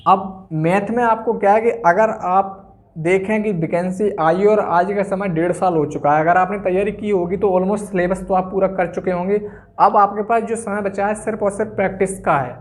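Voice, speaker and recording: A man's voice: sits high, fairly even intonation, moderate pace. A man giving an informal, instructional exam-coaching talk, emphatically repeating key phrases for stress.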